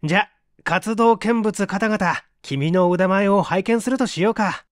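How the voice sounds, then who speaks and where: Man acting a scoffing, high-pitched young skeptic. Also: a little slow, no room sound, clean studio sound. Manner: scoffing, unimpressed. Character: dismissive, skeptical young man, scornful of his friend.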